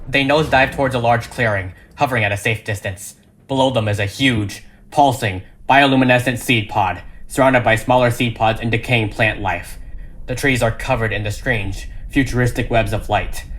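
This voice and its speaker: A man's voice: mid-range voice, normal speed, fairly bright tone. A man reading a fan audio drama script as a narrator, with emphatic, theatrical delivery.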